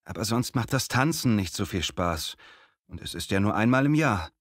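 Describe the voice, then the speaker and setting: A man playing an apologetic twenty-year-old neighbour in a clearly articulated language-lesson dialogue, speaking politely. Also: on the low side, animated, moderate pace, dry recording, clean studio sound, lightly acted.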